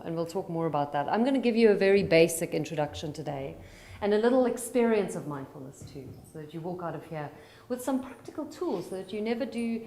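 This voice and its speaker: A South African psychologist speaking to a conference audience and calmly guiding them through mindfulness exercises. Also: female voice, fairly low pitch, slight background noise. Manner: calm and guiding, slowing for instructions. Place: large conference hall. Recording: roomy hall with faint ambience.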